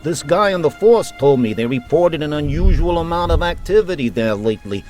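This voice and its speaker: A man's voice: on the high side, lively intonation, brisk, darkish tone, faint music behind, lightly acted. A man playing a street-smart, loyal mobster sidekick in a video game, with slangy wiseguy talk. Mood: confiding. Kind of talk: video game cutscene acting.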